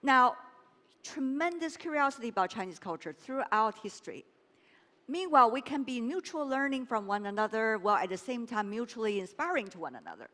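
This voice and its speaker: A woman moderates a panel in a lively, encouraging way. Her pitch moves a great deal from phrase to phrase. The voice rings in a large hall with long reverb. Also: brisk. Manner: lively, encouraging, frequent affirming hums.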